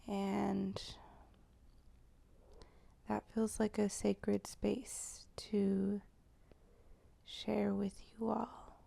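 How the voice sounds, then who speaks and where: Slow, creaky, hushed woman guiding meditation. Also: mid-range voice, level tone. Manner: slow, hushed, halting delivery with pauses. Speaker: guided meditation host. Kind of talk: channeled guided meditation, improvised.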